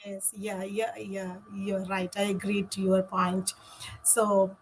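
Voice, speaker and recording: A woman's voice: medium pitch, little pitch movement, slow, a little hiss or hum, low-quality recording. A woman hosting a live business talk, asking questions hesitantly with fillers and agreeing readily. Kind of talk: live-stream interview.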